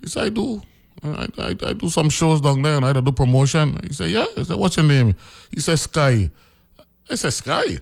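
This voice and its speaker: A man's voice: a little high, sing-song, normal speed, vocal fry. A middle-aged man from the Virgin Islands with a Virgin Islands accent, a radio host telling a story in casual commentary and often tagging his sentences with "right?". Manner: conversational storytelling, keeps asking "right?".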